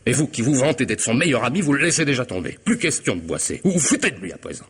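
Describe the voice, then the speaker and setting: A man's voice: medium pitch, sing-song, fast talker, breathy edge. An actor playing a cunning, mocking hitman in a radio mystery, with theatrical irony and precise, formal diction. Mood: scornful, mocking. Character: cunning middle-aged hitman, smug, mocking, methodical blackmailer. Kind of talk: French radio mystery drama acting.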